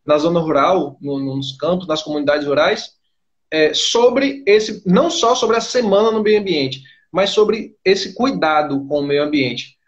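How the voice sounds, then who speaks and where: A man's voice: sits high, dull audio. A man hosting a live online interview, framing his questions hesitantly with many fillers and restarts. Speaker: host.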